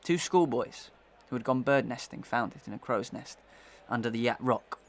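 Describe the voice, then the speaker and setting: A determined gentleman played by a man in a Victorian audio melodrama. His voice sits at a middle pitch, with formal, methodical phrasing and a dramatic storyteller's weight, full of suspicion. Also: moderate pace, natural voice.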